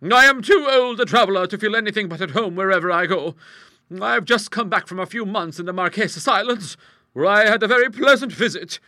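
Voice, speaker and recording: A man voicing a brisk, outspoken middle-aged English aunt in a storybook, hearty, emphatic and used to commanding. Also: high voice, expressive, sharp tone, no room sound, studio quality, voice pushed up, full character voice. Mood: hearty, blunt. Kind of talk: storybook character voice in an audiobook.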